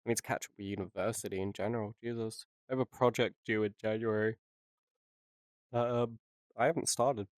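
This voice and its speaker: A young man with a lowish voice trades self-mocking jokes on a podcast, laughing often as he talks. The sound is dry and close, with no room around it. His delivery is loose and casual. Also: fairly even intonation, a little fast, no echo. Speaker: podcast co-host. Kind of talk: casual chat.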